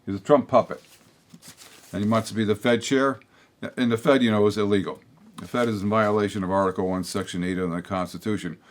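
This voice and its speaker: A somewhat low-voiced older man talks straight to the audience of his public-access show. He rambles bluntly, restarts often and drifts into asides. His throat sounds a little hoarse. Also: fairly even intonation, brisk. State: slightly hoarse, with a throat tickle. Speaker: host of a local public-access political show. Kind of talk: rambling opinion monologue to camera.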